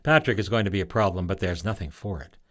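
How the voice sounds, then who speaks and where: A man's voice: low-pitched, fast, lightly acted. A man voicing an imperious, eccentric spirit woman in a storybook, with a bossy, fussy manner. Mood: brisk, musing.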